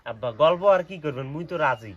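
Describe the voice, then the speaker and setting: A man's voice: medium pitch, lively intonation, fast talker, dull audio, natural voice. A young man with a northern Bangladeshi accent acting in a comedy skit as a lovestruck, cheeky eldest son. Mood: indignant. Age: young adult. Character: lovestruck, cheeky eldest son who defies his father. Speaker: comedy skit performer and channel host. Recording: clearly noisy background.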